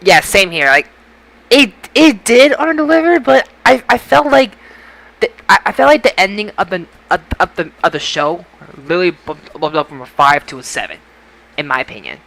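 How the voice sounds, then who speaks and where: Guy podcast host, higher bright voice, trips over phrases, dry close sound. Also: lively intonation, moderate pace, gravelly. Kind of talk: casual wrestling results chat.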